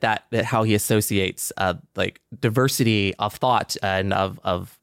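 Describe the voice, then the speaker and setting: A man's voice: mid-range voice, clear, resonant tone, no room sound, studio quality. A man co-hosting a podcast, sharing thoughts conversationally and addressing the listeners. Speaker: podcast co-host. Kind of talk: casual podcast discussion and sign-off.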